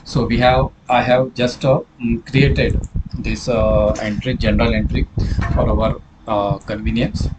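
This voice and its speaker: A man narrating a hands-on software tutorial as a trainer, speaking haltingly with repetition and frequent self-corrections. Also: mid-range voice, flat delivery, heavy background noise.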